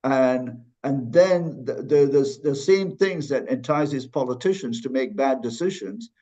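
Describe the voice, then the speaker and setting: A man's voice: medium pitch, measured pace, mellow, highs cut off. A man from Malaysia speaking as a podcast guest, thoughtful, with frequent restarts and fillers. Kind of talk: conversational interview.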